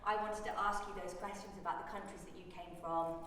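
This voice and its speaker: A woman journalist asking interview questions in a gentle, measured way. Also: on the low side, steady tone. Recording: very echoey, distant mic with faint noise.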